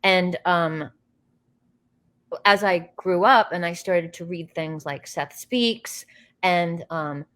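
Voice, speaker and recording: Brief: American woman, interview guest, lowish voice, chatty and meandering, lots of "um" and "like". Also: takes it slowly. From United States. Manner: rambling, animated storytelling with frequent fillers and restarts. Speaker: interview guest, actress and filmmaker. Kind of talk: casual conversational interview.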